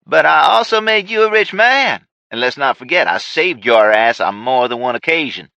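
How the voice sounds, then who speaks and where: An unhinged crime boss shrieks and gloats, his voice pitched far up and thin. The sound is dry and a little dull, like a home audiobook recording. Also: sing-song, moderate pace, creaky, no echo, heavily acted. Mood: gloating. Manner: shrill, shouting, gloating.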